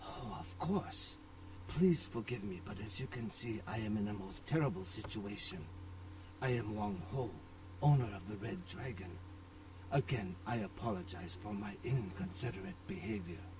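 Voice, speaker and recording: A man's voice: lowish voice, normal speed, a little mellow, close to an everyday voice. An actor playing a middle-aged Chinese restaurant owner in a video game, a worried father who speaks formally and courteously. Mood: distressed, apologetic. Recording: distant game audio with background noise.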